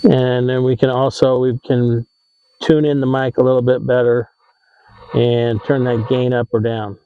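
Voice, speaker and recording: A man hosting a how-to vlog, explaining things in a casual, conversational and slightly halting way. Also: mid-range voice, flat delivery, phone-quality sound. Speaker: vlog host giving RV tips.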